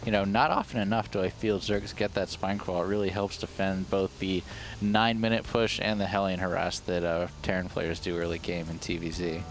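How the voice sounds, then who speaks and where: A man's voice: deep voice, flat delivery, fairly quick, a little hiss or hum. A man commentating a video game match live, enthusiastic and bantering with his co-caster. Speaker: esports caster.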